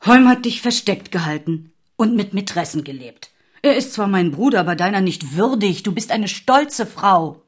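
An actress playing a sharp-tongued, gossipy noblewoman at court in a radio drama, mocking and pointed. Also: medium pitch, expressive, normal speed, husky.

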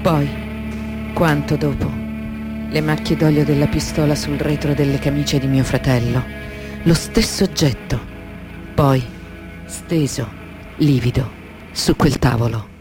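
A woman's voice: low-pitched, steady tone, unhurried, pauses often. An actress playing a defiant, anguished woman who informs on the mafia. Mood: grieving. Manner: intense, emotive, broken into short dramatic phrases. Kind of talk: dramatic radio theatre monologue. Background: music.

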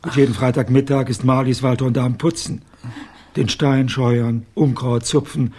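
An actor playing a blunt, confident old former private detective with a husky voice in a radio crime drama. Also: male voice, medium pitch, steady tone, moderate pace, warm tone, a little hiss or hum, natural voice. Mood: confident, suspicious. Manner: blunt, assertive. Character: gruff, confident old male ex-private detective, gang member.

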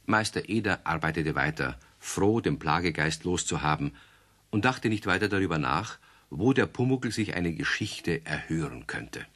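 A man narrating a children's radio play in a calm storytelling cadence. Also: low-pitched, normal speed.

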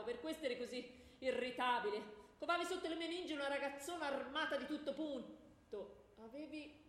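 A woman's voice: high-pitched, a bit bright. A woman playing a glib, theatrical Hephaestus in a radio stage comedy, wordy, declamatory and mocking. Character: glib, theatrical player voicing Hephaestus, the axe-wielding smith god. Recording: echoey room, faint background noise.

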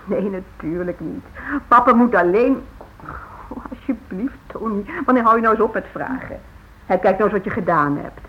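A woman's voice: medium pitch, moderate pace, fairly bright tone, close to an everyday voice. Lady doing a bossy busy housewife mom in an old radio drama, muffled, bit noisy. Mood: exasperated. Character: brisk, practical, mildly exasperated housewife and mother. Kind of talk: radio drama acting, domestic dialogue. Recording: dull old radio, faint noise.

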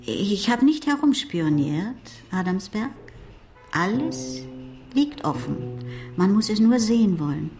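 A woman's voice: medium pitch, sing-song, a little slow. An impulsive, curious oceanographer in a radio crime play. She muses aloud in a frank, self-assured, conversational way over faint music. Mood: frank, musing. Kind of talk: radio crime drama dialogue.

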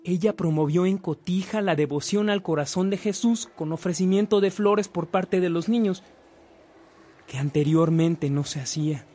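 A man with a Mexican accent narrating a saint's life in a scripted radio drama, in a measured storytelling manner. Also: sits high, dark tone.

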